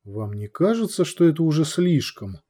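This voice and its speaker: A man voicing Santiago, a calm, patient male commissar who speaks in a measured, restrained way. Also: a little high. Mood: doubtful.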